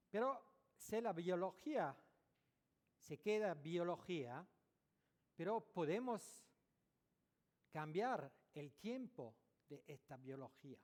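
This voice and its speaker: A man speaking Spanish as a non-native speaker, giving a dental conference lecture, pausing often and correcting his own words. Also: on the high side, darkish tone. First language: French or Italian, not Spanish. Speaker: dentist giving a conference talk. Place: large lecture hall. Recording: echoey hall recording.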